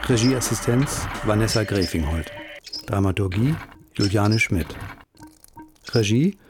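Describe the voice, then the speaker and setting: Male radio announcer, lowish voice, scripted end credits read over prominent music. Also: expressive, a little slow.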